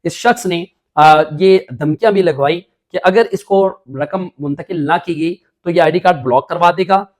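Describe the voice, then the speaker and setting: A man's voice: on the high side, normal speed, few pauses. A man with a Pakistani accent giving a spoken news report with commentary, emphatic and padded with repeated filler phrases.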